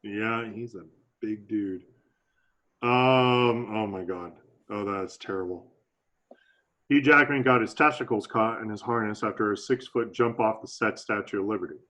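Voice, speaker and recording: A man's voice: lowish voice, unhurried, bright, forceful tone. A man co-hosting a movie podcast in casual chat, laid-back and hesitant, often restarting his sentences. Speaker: podcast co-host.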